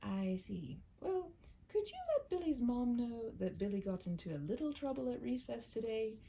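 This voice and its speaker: A polite storybook schoolteacher, put on by a woman reader, wryly amused and courteous. Her delivery rises and dips a great deal, with a creaky edge. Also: on the high side, sing-song, normal speed, mellow, pitched above the performer's own voice.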